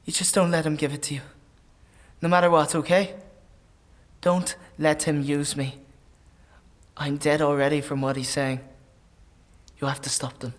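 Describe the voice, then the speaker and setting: An actor playing a warrior's anxious, loyal companion in a dark fantasy audio drama, speaking with halting, urgent earnestness. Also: male voice, a little high, flat delivery, natural voice. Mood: pleading, reassuring.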